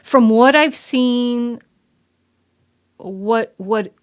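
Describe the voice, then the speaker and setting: A woman's voice: a little high, extremely slow, clean tone. A woman co-hosting a casual discussion, repeating words as she builds up her questions and points.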